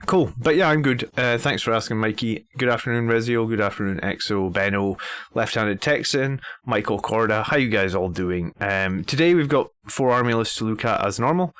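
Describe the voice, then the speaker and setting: A man's voice: on the low side, a bit bright, clear, resonant tone, no room sound, studio quality. A man hosting a live stream in an easygoing, chatty way. He greets viewers one by one and talks with plenty of ums. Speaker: host of a live army list stream. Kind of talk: casual live-stream chat.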